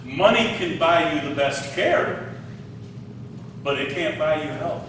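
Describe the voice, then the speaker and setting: A man's voice: on the high side, lively intonation, slow pace, background noise. A man in his sixties preaching a sermon in a conversational way, asking his listeners questions. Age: 60s. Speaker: pastor. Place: large echoey hall. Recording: echoey hall, distant mic.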